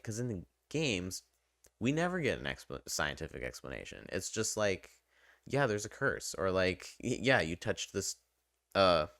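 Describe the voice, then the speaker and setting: A man with a low-pitched voice gives an informal, unscripted review. He talks conversationally and haltingly, often breaking off for asides. The sound is dry, with very little room in it. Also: a bit bright, no room sound. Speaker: video game reviewer and channel host. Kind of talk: casual unscripted review talk to viewers.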